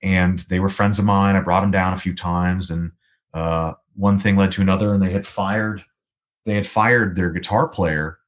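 A man, likely in his thirties, with a baritone voice, telling a long rambling story as a guest in an interview. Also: moderate pace. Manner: rambling, animated storyteller with many restarts. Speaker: guest musician.

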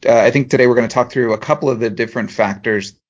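A man, a professor co-hosting a podcast, explaining ideas in a measured way with dry humour. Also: medium pitch, lo-fi sound. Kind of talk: explanatory chat.

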